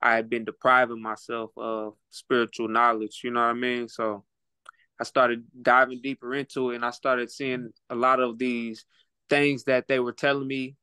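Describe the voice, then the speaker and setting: A man's voice: mid-range voice, little pitch movement, moderate pace, sharp tone, clean tone, dry recording. A man with an Oklahoma accent, talking as a guest on a casual podcast in a laid-back, reflective way, repeating words while he thinks. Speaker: guest, rapper and boxer.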